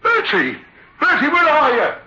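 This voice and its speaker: A man's voice: extremely high pitch, wildly swooping pitch, a little slow, pushed and bright, lo-fi sound, exaggerated voice. A man playing a bewildered, agitated theatre manager in a 1960s radio drama, emphatic and repeating himself. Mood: agitated, demanding. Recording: band-limited old radio recording.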